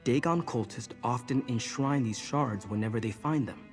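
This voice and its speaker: An actor playing an earnest, steady male mage in a fantasy game, explaining things calmly to companions. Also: medium pitch, normal speed, soft background music, natural voice. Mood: earnest, reassuring.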